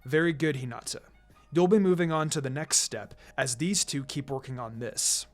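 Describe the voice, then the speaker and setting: A narrator voicing a calm adult male ninja teacher with a measured, instructive and dryly teasing manner in a fan fiction reading. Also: medium pitch, faint music behind, voice pushed down, natural voice. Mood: calm, firm.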